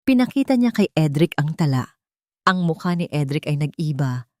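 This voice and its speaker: A woman narrates a romance drama with emotive, dramatic delivery. Her voice sits fairly low. The recording is close and dry. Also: sing-song, normal speed, a little mellow, no echo.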